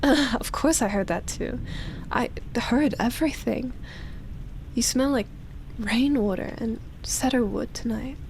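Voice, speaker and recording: A woman voicing an ancient, lonely vampire in love, speaking softly and intimately with sighs, whispered words and lingering pauses, as in roleplay ASMR. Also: on the high side, a little hiss or hum, low-quality recording. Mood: tender, teasing. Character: lonely, obsessive female vampire, ancient, tender and teasing.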